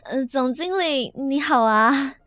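A woman's voice: sits high, slow, a bit bright. A woman voicing a flustered young office newbie on probation who stammers and whines. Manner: jittery, stammering, whiny. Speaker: lead in an audio drama. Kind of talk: audio drama acting.

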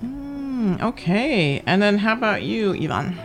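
A woman's voice: medium pitch, big pitch swings, takes it slowly, soft background music. A woman hosting a radio programme in a studio, interviewing guests in an enthusiastic, encouraging way. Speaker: radio show host.

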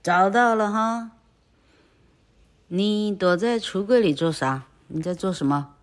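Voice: medium pitch, lively intonation, takes it slowly. A teacher doing a scolding old aunt. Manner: raises voice to shout and scold. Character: scolding old aunt, exasperated but loving. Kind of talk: reading a storybook aloud.

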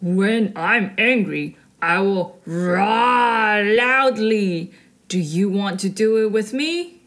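A woman's voice: mid-range voice, slow pace, a bit bright. A woman voicing a bold, friendly young female tiger in a children's story.

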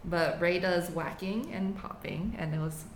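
A young woman born in the United States, chatting casually as a student podcast co-host, relaxed and laughing often. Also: lowish voice, measured pace. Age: young adult. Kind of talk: casual chat. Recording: echoey room, faint hiss.

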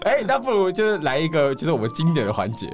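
Young male co-host, Taiwanese Mandarin, slightly high voice, highly expressive banter. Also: fast talker. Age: 20s. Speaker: podcast and radio co-host. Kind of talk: casual, bantering interview chat. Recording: music clearly behind. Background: music.

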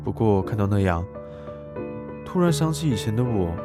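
An actor playing a polite young tutor who secretly observes others, narrating and talking in a scripted audio drama. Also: male voice, on the low side, sing-song, moderate pace, over music. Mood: detached, observing. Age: young adult.

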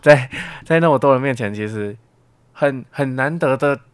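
A man's voice: medium pitch, moderate pace, clean tone, dry recording. A young man as a student guest in a casual radio interview, explaining things reflectively and repeating words as he goes. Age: young adult.

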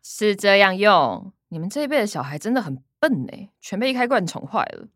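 A woman's voice: mid-range voice, big pitch swings, fairly quick, a bit bright, no room sound, clean studio sound. A woman playing a blunt, impatient younger woman of a family, with a Taiwanese accent, in a radio drama. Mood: mocking.

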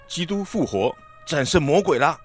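A man's voice: a little high, animated, normal speed, soft background music, lightly acted. An actor playing an earnest, friendly village man and disciple in a radio Bible drama, speaking in a hearty, theatrical way. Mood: earnest, excited.

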